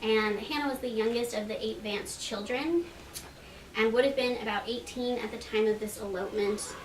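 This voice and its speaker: Female event presenter, informal and a bit halting, recorded from a distance with clear background noise. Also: on the high side, fairly even intonation, reverberant. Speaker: presenter introducing readers at a library event. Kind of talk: informal live introduction with historical background. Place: library reading room at a public event.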